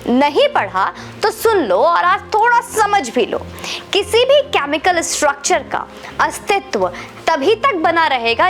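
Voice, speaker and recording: A woman's voice: very high voice, a bit bright. An Indian woman delivering an opinionated political monologue to the viewer, combative and lecturing, mixing in English words. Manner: combative, lecturing, rhetorical questions; mixes English words and phrases into the talk. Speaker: political commentator.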